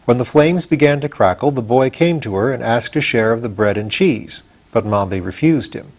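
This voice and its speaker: An older man, in his sixties, narrates a storybook. His pitch is average for a man. The reading is expressive, in the style of an audiobook. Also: moderate pace. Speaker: audiobook reader.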